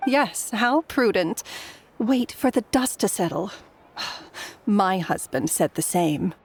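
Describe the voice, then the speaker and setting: A woman's voice: medium pitch, expressive, slow pace, slight background noise. A woman playing a prim, gossipy society wife in a video game. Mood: prudent.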